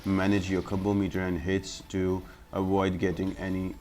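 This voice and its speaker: Low-voiced man giving a game walkthrough. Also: completely flat delivery, moderate pace, darkish tone, a little hiss or hum. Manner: instructional, step-by-step. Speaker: gaming guide host. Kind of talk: explanatory gameplay commentary.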